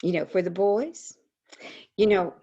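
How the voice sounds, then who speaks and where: An actress playing a frank, patriotic woman advice columnist in a theatrical monologue, confiding and dramatic with deliberate pauses. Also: medium pitch, warm tone, dull audio. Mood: solemn, deliberate. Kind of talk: theatrical monologue, staged reading. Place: indoors, remote video call. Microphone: video call microphone.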